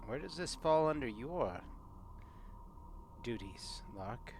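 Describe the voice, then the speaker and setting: A man playing a stern, proud corporate scientist in a tabletop game, speaking formally and deliberately over background noise. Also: mid-range voice, animated. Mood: cold, commanding. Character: stern, proud male corporate biochemical engineer.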